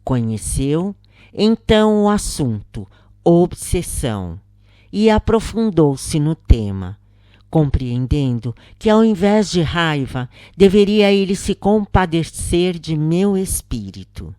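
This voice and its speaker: Low-voiced Brazilian woman, slow solemn narration. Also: very animated, warm tone, no echo, studio quality. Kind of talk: scripted narration of a spiritist account.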